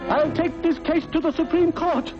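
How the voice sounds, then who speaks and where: A man's voice: very high-pitched, fairly even intonation, moderate pace. A man playing a flustered, indignant citizen protesting in a 1940s cartoon, on a dull film soundtrack with music.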